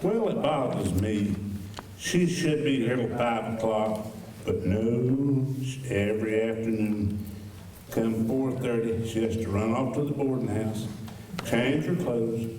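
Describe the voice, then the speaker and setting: A man's voice: lowish voice, slow pace, warm tone. A man playing a fretful, talkative general store keeper in a live old-time radio western, folksy and rambling. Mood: grumbling. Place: high school auditorium stage. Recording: echoey stage, distant mic, faint noise.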